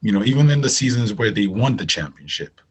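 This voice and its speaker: A man's voice: fairly low pitch, big pitch swings, a little fast, husky. A man with a Nigerian accent answering interview questions conversationally, making points with emphasis and repetition. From northern Nigeria. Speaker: podcast guest, agribusiness managing director.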